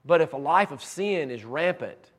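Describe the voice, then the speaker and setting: A man preaching a sermon, his voice raspy from cheering at ball games and allergies, with a fervent way of building to emphatic, repeated lines. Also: on the high side, animated, normal speed. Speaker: pastor.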